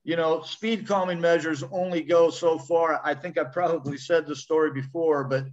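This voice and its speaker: Brief: male public commenter, fairly high voice, muffled lo-fi audio. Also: steady tone, moderate pace. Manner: conversational, rambling with you know and um. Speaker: public commenter at council meeting. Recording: dull lo-fi audio line.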